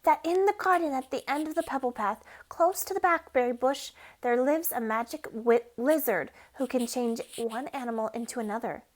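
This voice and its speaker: Woman voicing a high-pitched little toy mouse. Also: normal speed, hardly any pauses. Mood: mysterious. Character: small wind-up toy mouse, male, friendly and contented.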